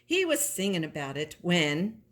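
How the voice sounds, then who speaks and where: A woman reading a children's story aloud in an expressive, animated way, as a storybook reader. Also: lowish voice, moderate pace, heavy background noise.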